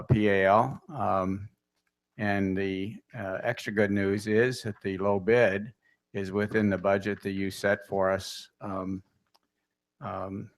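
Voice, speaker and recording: A city staff man presenting a report to the council, hesitant with many fillers. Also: on the low side. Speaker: city public works staff.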